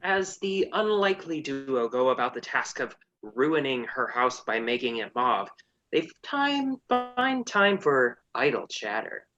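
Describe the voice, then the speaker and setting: A woman's voice: rumbling low, sing-song, measured pace, a bit bright, muffled recording. A woman narrating a scripted comedy with wry sass. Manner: wry, sassy.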